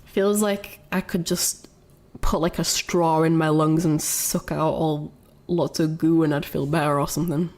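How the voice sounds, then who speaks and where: A woman's voice: sits low, steady tone, unhurried, a little mellow. A young adult woman streamer chatting casually with her viewers, rambling with lots of fillers, sounding congested from a cold. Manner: rambling, many fillers and restarts. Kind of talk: casual chat with chat.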